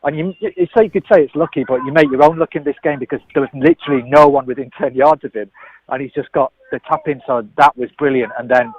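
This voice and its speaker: Enthusiastic football pundit. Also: male voice, medium pitch, fast talker, highs cut off. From England. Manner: enthusiastic, rambling analysis. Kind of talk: casual sports analysis.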